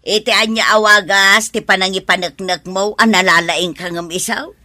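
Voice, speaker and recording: A woman's voice: medium pitch, fairly even intonation, moderate pace, pushed and bright, natural voice. A woman with an Ilocano accent acting as a nosy, skeptical middle-aged mother in a radio drama. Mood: concerned.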